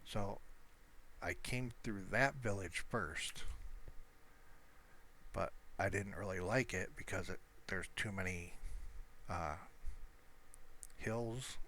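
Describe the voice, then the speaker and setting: Deep-voiced man rambling through gameplay. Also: pushed and bright, slight background noise. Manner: rambling, halting, thinking aloud. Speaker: gamer narrating own gameplay. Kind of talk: casual, unscripted gameplay commentary.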